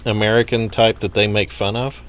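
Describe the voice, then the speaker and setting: Male co-host, somewhat low voice, inquisitive and chatty. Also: level tone, fairly quick, a bit bright. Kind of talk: casual radio chat.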